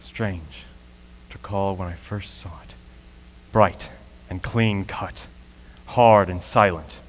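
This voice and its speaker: Guy playing a grave professor type survivor in a radio play, really low voice, slow dramatic emphasis, bit of hum behind. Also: fairly even intonation, low-quality recording. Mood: reflective, wistful. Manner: solemn, deliberate, with dramatic emphasis. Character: solemn male scholar recounting surviving a Martian invasion. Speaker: actor in a school radio play. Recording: faint hum and noise, live stream.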